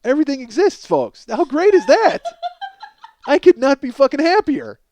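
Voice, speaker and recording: A man hosting a podcast, telling a casual, profane comic story in an animated, exaggerating way and acting out both sides of conversations. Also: extremely high pitch, normal speed, slight background noise. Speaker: podcast host.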